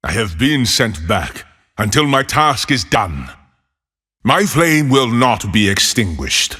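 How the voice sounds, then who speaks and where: An actor playing a fiery exiled male desert wanderer for a game, with a gravelly, husky voice and a slow, solemn delivery with dry wit. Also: lowish voice, pushed and bright, big-room sound, full character voice. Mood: defiant. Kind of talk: game voice lines.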